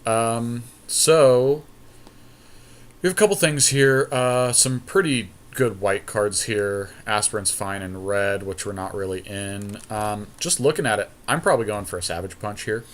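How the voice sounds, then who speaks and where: A man's voice: lowish voice, a little slow. A man co-hosting a podcast, chatting casually and thinking aloud as he weighs options during a card game draft. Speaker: podcast co-host.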